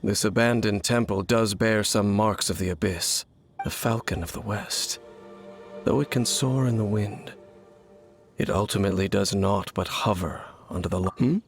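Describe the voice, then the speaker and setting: An actor playing a mysterious, guarded wandering swordsman who speaks in a measured, solemn and formal way. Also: on the low side, gravelly. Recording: game audio with soft music.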